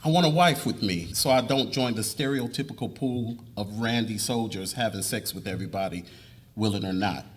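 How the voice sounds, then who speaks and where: Man reading as an earnest young suitor, lowish voice. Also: moderate pace, natural voice. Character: earnest, devout young suitor proposing marriage as a deal. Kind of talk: live dramatic reading of a novel. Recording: noisy live room recording.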